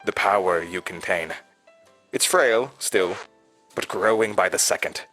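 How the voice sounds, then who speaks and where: An actor playing an uncanny, eagerly servile hotel manager who speaks in a formal, deferential, butler-like way in a horror audio drama. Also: male voice, deep voice, measured pace, fairly bright tone, faint music behind. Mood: reverent, eager.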